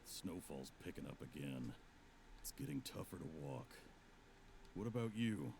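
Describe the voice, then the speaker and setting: A man playing a gruff, stalwart, weary monster hunter in a visual novel, deep and gravelly, with a terse, grumbling delivery. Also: very animated, measured pace, noisy background, full character voice.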